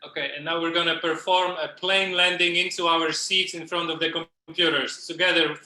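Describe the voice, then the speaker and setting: Man with a very bright voice leading a workshop. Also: a little high, level tone, a little fast, rough recording. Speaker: workshop facilitator. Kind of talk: leading an improvisational theatre workshop. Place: indoors on a video call. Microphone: computer video call.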